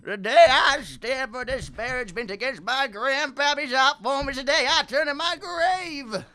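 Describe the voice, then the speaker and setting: A man's voice: extremely high pitch, unhurried, pushed and bright, exaggerated voice. A man playing a huffy, theatrical, exasperated snob in a student radio comedy. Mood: indignant. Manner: exasperated, declamatory. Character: indignant, snobbish comedy boss, huffy and theatrical. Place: small radio studio.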